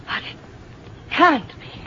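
A woman's voice: slow pace, lightly acted. A woman acting in an old-time radio drama as a sardonic, plucky American schoolteacher, theatrical and wry in her delivery. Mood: stunned, fearful. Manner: theatrical, wry, talking to herself. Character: sardonic, plucky American schoolteacher driving alone, shaken but defiant. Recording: dull, noisy old radio recording.

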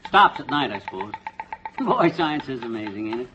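An actor playing an excitable, folksy, blustering married man in 1950s radio comedy style. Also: medium pitch, moderate pace, soft background music. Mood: wondering. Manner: folksy slangy grammar, blustering, stammers when flustered. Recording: dull, faintly noisy vintage radio recording.

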